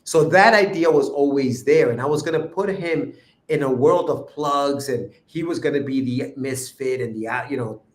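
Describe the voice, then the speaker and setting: A man from Ecuador talks casually as a guest on a remote call, and his voice sits at an ordinary middle pitch. He rambles easily and lets his sentences fade off. The room around him is audibly echoey. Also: normal speed. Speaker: guest cartoonist. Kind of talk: casual interview chat. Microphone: remote livestream call.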